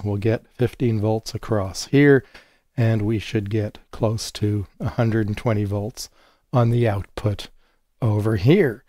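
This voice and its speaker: A hoarse man in his fifties explaining a transformer experiment step by step while he works at his bench. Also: fairly low pitch, mellow, no room sound, studio quality. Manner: unhurried, explanatory, thinking aloud while working. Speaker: electronics educator. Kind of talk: hands-on technical demonstration. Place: home studio with monitors and workbench.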